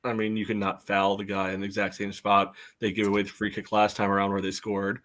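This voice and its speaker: A man on a sports podcast panel, casually analyzing soccer in a dry, wry and measured manner. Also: on the low side, completely flat delivery, fairly bright tone.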